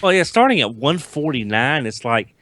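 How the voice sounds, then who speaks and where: A man on a podcast panel chatting casually, halting and repeating words as he starts. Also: medium pitch, sing-song, a bit bright, no room sound. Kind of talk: casual chat.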